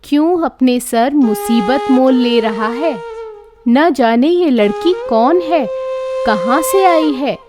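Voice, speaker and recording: A woman voicing a wary, scornful village man in a storybook tale, scolding and questioning. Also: on the high side.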